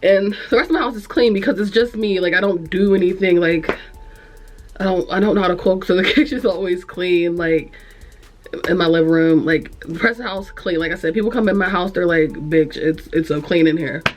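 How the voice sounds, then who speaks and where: A woman's voice: fairly low pitch, fairly quick, faint music behind. A young woman with a congested, nasal voice, rambling frankly to camera in a room at home, easily distracted and cursing. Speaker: vlogger. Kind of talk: casual chat to camera.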